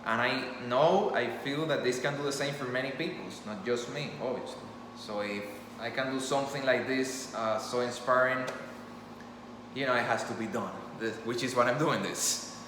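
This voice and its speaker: A man's voice: mid-range voice, moderate pace. A man whose first language is Spanish, talking off the cuff to his phone camera about his storytelling channel, earnest and rambling, often restarting his sentences. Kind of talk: impromptu talk to camera. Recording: echoey room with clear background noise.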